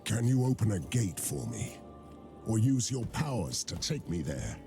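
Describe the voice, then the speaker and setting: A grim dark knight from a fantasy game, defiant and sardonic. His deep, gravelly voice moves through a wide range as he delivers blunt challenges. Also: sing-song, a little slow. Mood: wry, guarded. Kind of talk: dramatic fantasy game voice acting. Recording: dramatic music behind the voice. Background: music.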